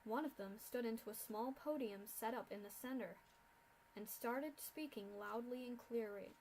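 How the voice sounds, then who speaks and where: A young woman narrating a fan-fiction story aloud in a steady reading voice. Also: a little high, normal speed, a little hiss or hum, low-quality recording. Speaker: fanfiction reader.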